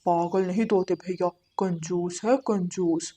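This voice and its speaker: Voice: medium pitch, steady tone, a little slow, dark tone, lightly acted. A performer voicing a gossipy male pigeon who mocks his neighbours in a moral-story cartoon. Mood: mocking. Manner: gossiping, mocking.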